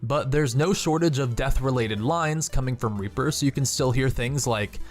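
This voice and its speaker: A man's voice: medium pitch, normal speed. A man hosting a video game channel, reading scripted narration. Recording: background music under voice. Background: music.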